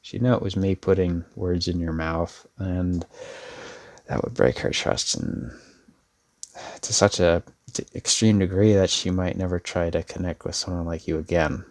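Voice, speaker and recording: A man playing a sincere, protective brother in an intimate ASMR roleplay, speaking hesitantly and earnestly with a creaky voice. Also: sits low, steady tone, a little mellow. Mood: earnest, pleading. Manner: hesitant, earnest, with trailing pauses. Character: protective older brother, a laid-back but sincere young man. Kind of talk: ASMR audio roleplay, intimate one-sided dialogue.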